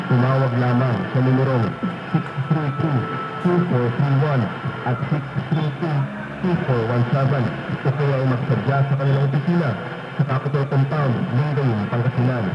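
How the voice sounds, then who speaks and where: A man reading a scripted public service announcement as a radio announcer. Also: medium pitch. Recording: echoey, very noisy lo-fi AM radio.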